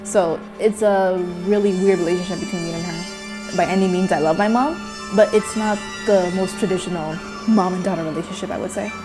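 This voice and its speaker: A woman's voice: lowish voice, steady tone, a little fast, music in the background. A nineteen-year-old woman from Myanmar, a native Burmese speaker, speaking candidly in a personal interview with hesitant restarts. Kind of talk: personal testimony.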